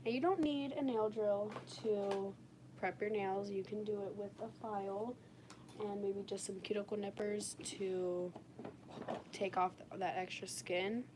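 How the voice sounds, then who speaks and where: A woman with a raspy, slightly under-the-weather voice, chatting casually and informally as she demonstrates nail work on a livestream. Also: medium pitch, fairly even intonation, a little slow. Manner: informal, frequent "like". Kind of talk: casual live chat while demonstrating. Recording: clearly noisy home livestream recording. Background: washing machine noise.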